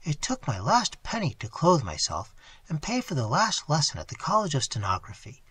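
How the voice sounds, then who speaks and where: A plucky young woman with a husky, hushed voice, as a male narrator puts her on. She speaks gently and earnestly, in a close, dry storybook reading. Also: wildly swooping pitch, moderate pace, no echo, exaggerated voice. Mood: pleading. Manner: soft, low delivery.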